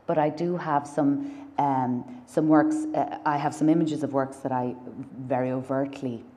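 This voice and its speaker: An Irish woman in her thirties speaks at a gallery lectern in a very low, mellow voice. She talks thoughtfully, pausing on fillers and restarting her phrases. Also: steady tone. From Ireland. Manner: thoughtful, many fillers and restarts, gestures with hands. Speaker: artist. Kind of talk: public conversation on stage. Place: dark stage in a gallery lecture hall.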